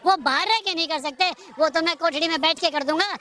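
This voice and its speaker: A woman's voice: very high voice, fairly quick, pushed and bright. A woman voicing an earnest, assured male disciple in a cartoon, arguing in a firm and repetitive preaching cadence. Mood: insistent.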